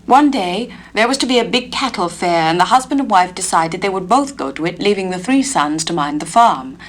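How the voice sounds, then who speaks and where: An English woman reading a folk fairy tale aloud for a spoken-word record, gentle and measured. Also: on the low side, animated. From England. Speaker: narrator.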